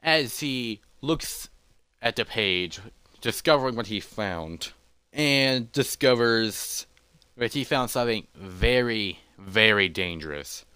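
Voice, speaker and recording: Slow, low-voiced man narrating haltingly. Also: animated, bright, forceful tone, clear, resonant tone, no echo, poor audio. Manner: halting, with dramatic pauses. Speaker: narrator of a fantasy adventure script. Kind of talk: scripted narration, loosely read.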